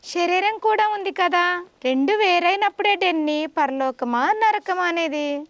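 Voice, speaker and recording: High-voiced woman teacher, instructive. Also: measured pace, lo-fi sound, over loud music. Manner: instructive, patient explaining to a child. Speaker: teacher in a puppet show.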